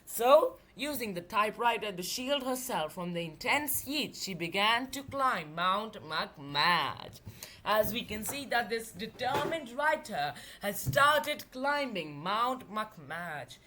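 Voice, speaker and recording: A woman reading and retelling a children's story aloud, expressive, with emphatic drawn-out words. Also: medium pitch, a little hiss or hum.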